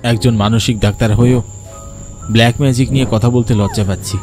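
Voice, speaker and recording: An actor playing a troubled male psychiatrist with a dark, demonic side, in a brooding, dramatic horror story. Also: medium pitch, fairly even intonation, a little fast, music in the background, lightly acted. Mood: anguished, ashamed.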